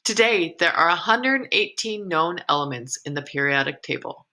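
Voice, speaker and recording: Bright-voiced woman teacher reading aloud. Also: lowish voice, animated, moderate pace. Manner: encouraging, explains as she reads, with slips and self-corrections. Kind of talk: reading a science article aloud for a class.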